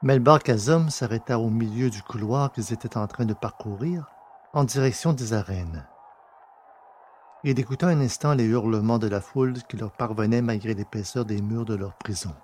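A man with a slightly husky voice reading scripted fiction as an audiobook narrator. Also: fairly low pitch, normal speed, a little mellow, a little hiss or hum.

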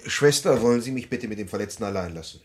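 An actor playing a calm, solicitous police commissioner in a German radio crime drama, hushed and measured. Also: male voice, fairly low pitch. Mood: calm, soothing.

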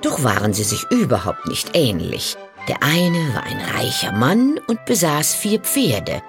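A woman narrating a fairy tale from a script in an expressive storytelling manner. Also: deep voice, unhurried, music in the background.

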